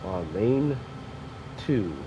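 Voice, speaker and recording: A man's voice: fairly low pitch, expressive, extremely slow. A young man sniffling from allergies, rambling casually with fillers as he commentates a train sim game. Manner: rambling, loose, with frequent fillers and self-corrections. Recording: dull lo-fi mic with clear background noise.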